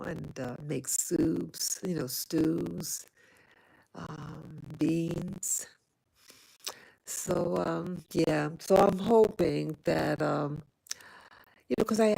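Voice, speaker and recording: A woman's voice: lowish voice, vocal fry, dark tone, low-quality recording. A woman from New York City chatting casually to her viewers, rambling with many fillers and restarts. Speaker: vlogger. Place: home in Rwanda.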